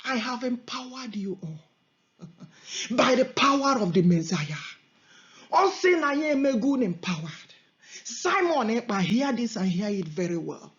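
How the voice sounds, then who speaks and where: High-voiced Nigerian Igbo woman preaching fervently. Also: big pitch swings, measured pace, long pauses. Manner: emphatic, repetitive, declamatory; switches between Igbo, English and Pidgin. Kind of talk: fervent prophetic sermon and prayer. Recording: dull lo-fi audio.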